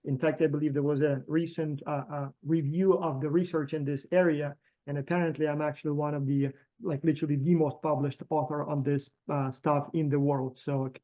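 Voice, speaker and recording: A man's voice: fairly high pitch, mellow. A middle-aged man with a Ukrainian accent, a professor and program founder, talking loosely on a video call with many fillers and restarts. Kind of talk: casual online meeting talk. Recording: dull lo-fi video call audio.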